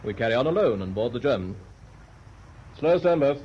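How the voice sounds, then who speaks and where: An actor playing a decisive naval commander on a ship's bridge in a radio drama, barking terse orders. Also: male voice, medium pitch, expressive, a little fast, natural voice. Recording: muffled, noisy radio play with ship sounds.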